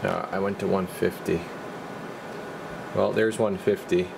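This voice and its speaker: Low-pitched gravelly man demonstrating repairs. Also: flat delivery, measured pace, noisy background. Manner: plain-spoken, informal, thinking aloud. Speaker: radio repair technician presenting his work. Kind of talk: casual hands-on repair demonstration.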